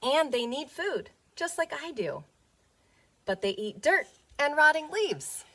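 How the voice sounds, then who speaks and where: A woman teacher reading a picture book aloud to young children, playful and expressive, often putting questions to them. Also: sits high, takes it slowly.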